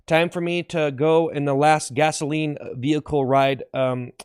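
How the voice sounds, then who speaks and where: A man's voice: fairly high pitch, steady tone, measured pace, a little mellow, clear, resonant tone, no echo, clean studio sound, close to an everyday voice. A man acting out a wistful farewell ride on the last gasoline in a comedy skit, sentimental and repetitive. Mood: solemn, proud.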